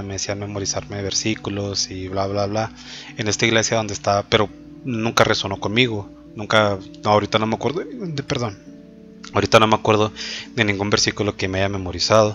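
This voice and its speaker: A man with a fairly low voice recounts his testimony in a reflective, halting way. The recording sounds dull and lo-fi, with faint music underneath. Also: a bit bright. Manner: reflective, hesitant, pauses often on fillers. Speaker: testimony speaker. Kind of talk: personal faith testimony.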